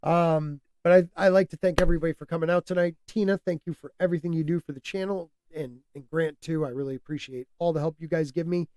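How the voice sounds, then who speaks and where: A man hosting a casual podcast, stuffed up and losing his voice, talking in an animated, opinionated way and laughing often. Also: a little high, fast talker, few pauses, no echo. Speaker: podcast host.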